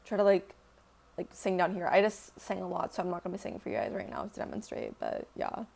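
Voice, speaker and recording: A woman's voice: very animated, fast, gravelly, noisy background. A young woman who sings, answering fan questions in a loose, rambling way full of fillers and casual swearing. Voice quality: deeper singing voice. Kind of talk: casual Q&A chat.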